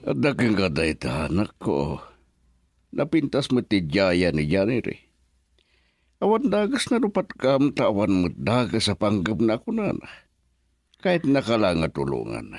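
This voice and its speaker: Man doing stern middle aged dad in radio drama, firm, protective, very animated pitch, dry studio sound. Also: normal speed, pauses often, no echo, heavily acted. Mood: stern, advising. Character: stern protective proud father of a grown daughter. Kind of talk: radio melodrama acting.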